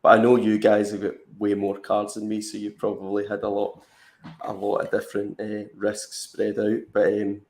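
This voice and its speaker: A man's voice: on the low side, completely flat delivery, normal speed, a little mellow, roomy sound, a little hiss or hum. A man co-hosting a fantasy football live stream, chatting casually and rambling with lots of fillers and restarts. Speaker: fantasy football podcast co-host.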